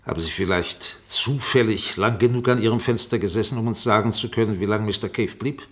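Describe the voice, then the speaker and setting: An actor playing a sharp, sarcastic defense barrister in a radio courtroom drama, pressing, insinuating and commanding. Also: male voice, lowish voice, a little fast, lightly acted. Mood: controlled, probing. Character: sharp, sarcastic male defense barrister cross-examining a witness.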